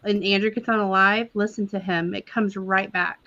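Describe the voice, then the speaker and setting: A woman's voice: mid-range voice, fairly even intonation, normal speed, pushed and bright, dull audio. A woman from Texas chatting casually as a panelist on a livestream. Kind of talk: casual chat.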